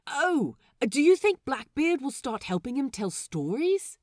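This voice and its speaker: A woman's voice: high voice, very animated, unhurried, a little mellow, dry recording, clean studio sound, voice pushed up, full character voice. A woman voicing an eager, wide-eyed, curious male pirate crewman in a storybook reading. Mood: excited. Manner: curious, enthusiastic.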